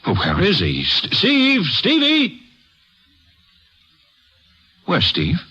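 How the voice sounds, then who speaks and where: An actor playing an anguished, agitated father with a husky voice, urgent in 1940s radio drama style. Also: high-pitched, huge pitch swings, very slow, bright, forceful tone, full character voice. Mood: frantic, pleading. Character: frantic, anguished father searching for his son. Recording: muffled 1940s radio broadcast.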